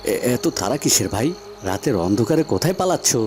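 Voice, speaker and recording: A man playing a brave, vengeful young cowherd hero in a fairy-tale cartoon, speaking deliberately and with quiet menace. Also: medium pitch, big pitch swings, soft background music, close to an everyday voice. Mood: taunting.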